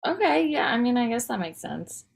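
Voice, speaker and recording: A woman's voice: on the high side, very animated, fairly quick, creaky, heavily acted. A woman playing a frazzled, firm young wife and mother of toddlers in a comedy table read, speaking in a dry, weary conversational way. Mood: puzzled.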